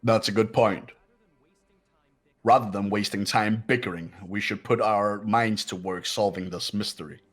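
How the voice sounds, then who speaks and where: Man playing an arrogant, husky-voiced teenage boy. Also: fairly low pitch, steady tone, unhurried, faint music behind. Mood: cold. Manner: confident, lecturing. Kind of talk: fan voice acting of a game.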